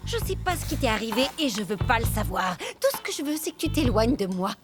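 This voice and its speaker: A woman's voice: a little high, big pitch swings, brisk, loud music behind the voice. A woman voicing an irritable, curt animal in a cartoon. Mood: irritated. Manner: curt, annoyed. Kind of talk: French cartoon dubbing.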